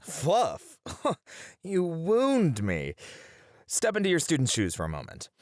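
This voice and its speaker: A man's voice: on the high side, wildly swooping pitch, takes it slowly, no echo, studio quality, heavily acted. A man acting in an ASMR roleplay as a playful educator with theatrical flair, bantering and flirty in his delivery. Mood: teasing, playful.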